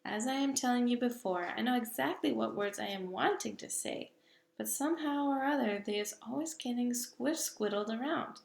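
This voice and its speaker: A woman voicing a very old, kindly male giant who talks slowly and loudly with jumbled grammar, in an echoey room. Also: creaky. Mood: wistful. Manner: slow booming delivery with jumbled grammar.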